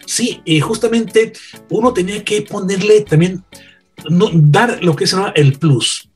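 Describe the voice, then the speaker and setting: A man's voice: high-pitched, a little slow, husky, soft background music. A man with a Mexican accent, a veteran radio announcer, telling anecdotes in an earnest, teaching way. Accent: Mexican Spanish. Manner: storytelling, earnest and didactic. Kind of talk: anecdotal conversation.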